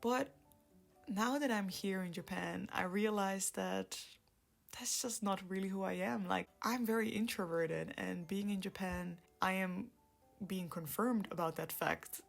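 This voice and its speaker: Soft-spoken Dutch woman with gravelly voice. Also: mid-range voice, measured pace. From Netherlands. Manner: soft-spoken, laughs often, trails into whispers. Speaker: vlogger. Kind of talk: reflective personal storytelling. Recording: light background music.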